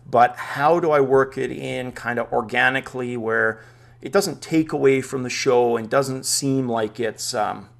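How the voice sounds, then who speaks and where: Guy w/ a gravelly voice, dutch was his first language, rambling excited vr news from his man cave. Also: medium pitch, a little slow. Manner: chatty, thinking aloud, enthusiastic. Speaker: VR news show host. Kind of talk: casual solo news vlog.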